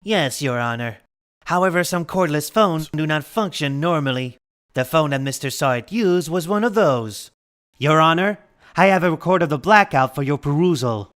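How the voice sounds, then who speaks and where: A man's voice: fairly high pitch, expressive, moderate pace, fairly bright tone. A man playing a fussy, dismissive prosecutor speaking formally in a courtroom game fan dub. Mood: formal, pedantic. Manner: formal, pedantic.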